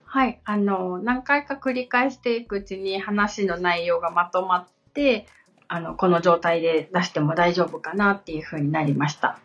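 A woman's voice: lowish voice, steady tone, moderate pace, a bit bright. Female podcast co-host, polite and conversational, simple phrasing. Slightly lo-fi sound over background music. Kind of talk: conversational podcast talk, answering questions.

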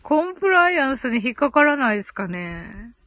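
High-voiced woman chatting on a livestream. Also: sing-song, brisk, bright, forceful tone, dry recording. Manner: giggly, thinking aloud. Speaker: live audio stream host. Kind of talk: casual chat, reading and reacting to listener comments.

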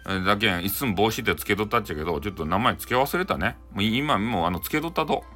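Fast, animated Hakata-accented male storyteller. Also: lowish voice. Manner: chatty, playful. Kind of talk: casual improvised comic retelling of a bedtime folktale.

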